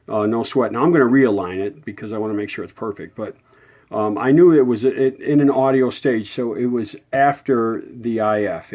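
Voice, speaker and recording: A man's voice: lowish voice, darkish tone. A man giving a casual, unscripted repair walkthrough in a folksy way, thinking aloud and correcting himself as he goes. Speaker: radio repair hobbyist and video host.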